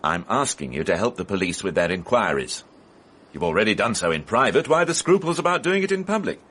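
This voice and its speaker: An actor playing a formal, persistent police inspector in a radio drama, speaking precisely and probingly. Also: male voice, on the low side, sing-song, fairly quick, fairly bright tone, breathy edge, heavily acted. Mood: stern, formal. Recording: faintly noisy archive radio broadcast.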